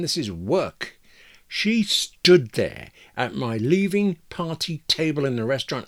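A man's voice: a little high, a bit bright, pitched above the performer's own voice. A man voicing a bitter, anxious woman in a dramatic first-person story, with a wry, agitated, self-interrupting manner. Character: bitter, anxious woman stewing after losing her job.

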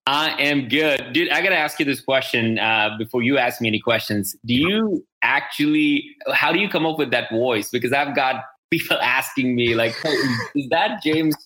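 A man's voice: medium pitch, moderate pace, hardly any pauses, bright, forceful tone, poor audio. A man hosting a business podcast, talking casually and enthusiastically, often repeating words as he goes. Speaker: podcast host.

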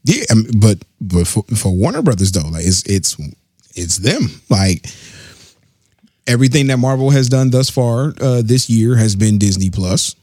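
A man hosting a casual pop culture podcast, slangy and animated, laughing often. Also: lowish voice, normal speed, no echo, studio quality. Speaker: podcast host. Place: studio or home studio, live on stream.

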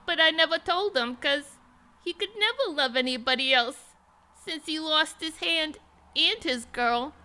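A high, bright voice from a woman acting as a lovelorn bakery cashier. She is earnest and overwrought, declaiming her devotion like a melodramatic reading. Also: unhurried, a little hiss or hum. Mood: lovesick, anguished. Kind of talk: comic dramatic reading.

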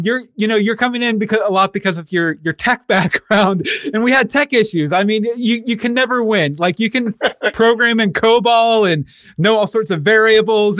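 A man's voice: high voice, fairly bright tone, no echo, clean studio sound. A man hosting a podcast in a casual conversational interview style.

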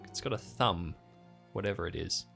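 Wry, placating sidekick investigator for improvised roleplay man acting, lowish voice with a forward, bright edge. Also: moderate pace, gravelly, soft background music, full character voice. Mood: confiding. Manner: confiding, placating. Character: Bernie, male investigator, calm, confiding, wry companion.

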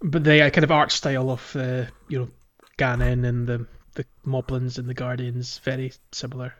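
A man's voice: medium pitch, little pitch movement, normal speed, a bit bright, muffled recording. Man, thirties, podcast host, mid-range voice, casual gaming conversation. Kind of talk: casual playthrough chat.

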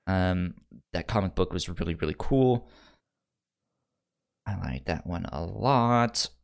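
A man's voice: deep voice, lively intonation, slow pace, gravelly. A man hosting a podcast in casual review chat, rambling a little as he thinks aloud. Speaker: podcast host.

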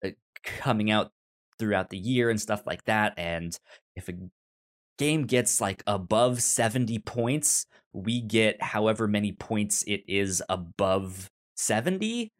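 Podcast host, unhurried, mid pitch, halting and stammering, casual movie chat. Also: expressive. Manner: halting, stammering with repeated syllables.

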